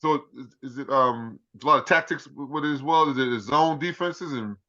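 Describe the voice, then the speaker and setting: Bright eager co-host, muffled sound. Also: male voice, mid-range voice, moderate pace. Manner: eager, repeats words, reacts a lot. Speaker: podcast co-host. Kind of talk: casual chat. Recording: dull lo-fi sound.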